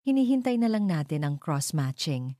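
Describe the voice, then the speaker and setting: Woman doing a serious male doctor in an audio drama, low voice, measured, dry sound. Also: very animated, mellow, dry recording. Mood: grave, urgent. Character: grave male doctor delivering urgent news.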